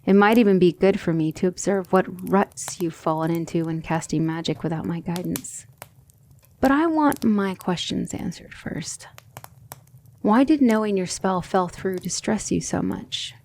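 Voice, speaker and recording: A woman playing a gentle but firm demon tutor in an ASMR roleplay, speaking in a soothing, measured and formal way. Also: lowish voice. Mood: patient, probing.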